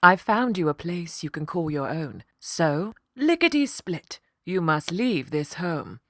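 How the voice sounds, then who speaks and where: A woman's voice: fairly low pitch, very animated, unhurried, no room sound, clean studio sound. A woman voicing a canny, stern yet kind female cat with a grand, firm manner for a children's storybook. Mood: firm warning.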